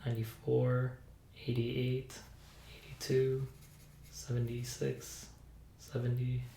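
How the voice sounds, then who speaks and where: A soft-spoken woman playing a patient in an ASMR medical roleplay, giving brief, low-key, compliant answers. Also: monotone, slow, creaky. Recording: roomy sound with faint hiss.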